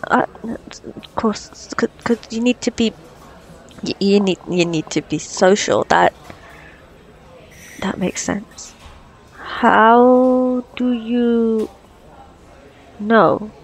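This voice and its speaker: Woman acting as a flustered, stammering young girlfriend, very slow. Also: on the high side, fairly even intonation. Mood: hesitant, curious. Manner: nervous heavy stammering, halting, hesitant. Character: flustered, curious female doctor, awkward sweetheart on a date. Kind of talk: ASMR roleplay audio drama.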